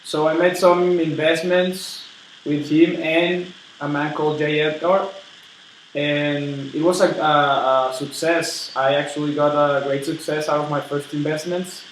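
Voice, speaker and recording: A man playing an eager, polite steel tycoon in a role-played interview, earnest and halting as he repeats words. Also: a little high, fairly even intonation, recorded from a distance, natural voice. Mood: proud. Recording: very echoey room with background noise.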